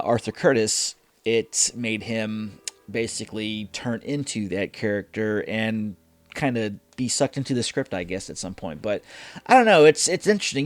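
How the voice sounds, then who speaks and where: A man's voice: medium pitch, no room sound. A man hosting a reaction show, talking casually and thinking aloud as he gives rambling commentary.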